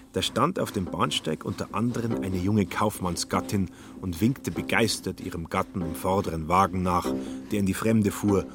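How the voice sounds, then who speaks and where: A man narrating a literary radio drama in a wry, theatrical way. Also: fairly low pitch.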